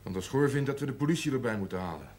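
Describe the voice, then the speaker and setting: Casting: male actor as disapproving young brother, dry, brisk, mid-pitched, TV period drama. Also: animated, darkish tone, close to an everyday voice. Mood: concerned. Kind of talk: television period drama acting. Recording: faint noise, old television soundtrack.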